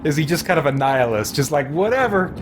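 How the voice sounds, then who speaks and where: Higher-voiced man rambling on a livestream. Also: lively intonation, fast talker, noisy background. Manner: rambling, thinking aloud, chuckling often. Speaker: game livestream host. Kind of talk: casual livestream commentary, chatting with viewers.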